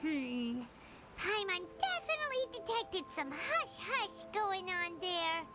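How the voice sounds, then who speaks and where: A woman's voice: very high-pitched, very animated, slow pace, heavily acted. A woman voicing a tiny, childlike, nosy fairy companion in a video game, chirpy and exclamatory. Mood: curious. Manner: refers to self in third person, exclamatory. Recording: loud game music behind.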